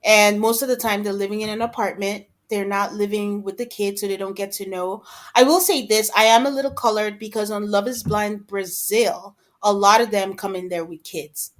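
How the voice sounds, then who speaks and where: A woman speaks as a podcast co-host in a casual, conversational style. Her voice sits at a middling pitch, and she slips into imagined quotes to illustrate her points. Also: fairly even intonation, moderate pace. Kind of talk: casual discussion of reality TV.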